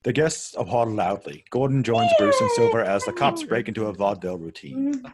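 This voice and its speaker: A man's voice: medium pitch, huge pitch swings, fairly quick, heavy background noise. A man reading scripted stage directions as narrator of a table read.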